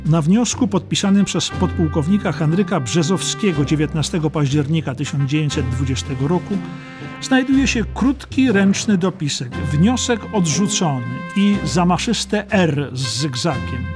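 A man's voice: a little high, animated, moderate pace, music in the background. A man reading scripted history narration as an audiobook narrator.

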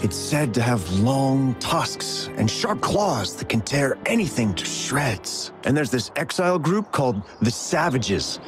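A man's voice: mid-range voice, big pitch swings, measured pace, few pauses. A chatty male courier from a video game, over faint music. His delivery is theatrical and swoops up and down, with a shifty, evasive edge. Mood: dramatic. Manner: theatrical, evasive, stammering when pressed. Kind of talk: video game character dialogue.

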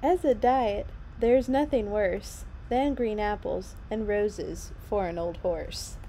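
A woman's voice: mid-range voice, takes it slowly, warm tone, poor audio. A young adult woman reading picture books aloud to children, expressively, with her own little asides on the story. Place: small room at home, indoors. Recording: noticeable background noise, home recording.

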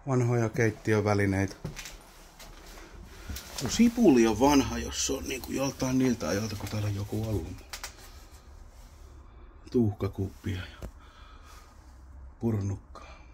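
A man's voice: on the low side, long pauses, a little mellow, slight background noise. A man exploring an abandoned wooden cabin, wondering aloud at old objects in casual vlog commentary, recorded on a handheld camera. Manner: muttering, wondering aloud while poking around.

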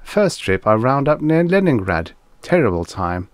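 Male reader as genial Venusian gent, breezy, matter-of-fact, lively intonation, storybook sci-fi. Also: medium pitch, moderate pace, no room sound, voice pushed up, close to an everyday voice. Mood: chatty, matter-of-fact. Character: affable male visitor from Venus, casual and chatty.

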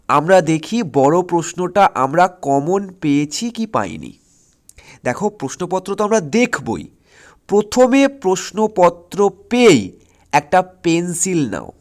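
A man with an Indian Bengali accent from West Bengal teaching an exam-prep lesson, repeating his points and often checking that students follow. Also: high voice. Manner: instructive, repeats points and keeps checking understanding with short questions. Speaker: exam-prep history teacher on an online channel. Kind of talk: informal lesson, explaining exam strategy step by step.

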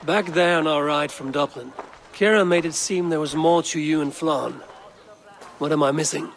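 A man playing a blunt, gruff Viking warrior in a video game, with a dry, clipped way of talking. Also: a little high, moderate pace, slight background noise, lightly acted. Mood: probing. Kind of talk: video game cutscene acting.

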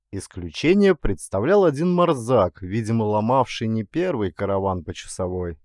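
A man narrating a literary story as an actor would, in an expressive, dramatic storytelling manner. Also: mid-range voice, no room sound, studio quality.

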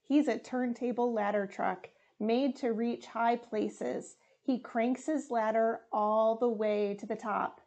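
A young woman reading a children's storybook aloud in a deliberate, expressive way. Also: a little high, muffled recording. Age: young adult. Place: small room indoors.

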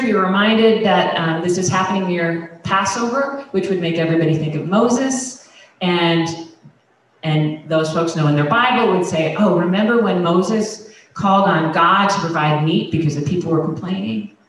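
A woman's voice: on the low side, moderate pace, recorded from a distance. Woman pastor, 50s, conversational sermon, handheld mic, roomy church reverb, faint hum behind. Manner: conversational preaching with many restarts and fillers; gestures broadly while speaking. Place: large wood-paneled church sanctuary. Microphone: handheld microphone. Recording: echoey church sanctuary, voice from afar.

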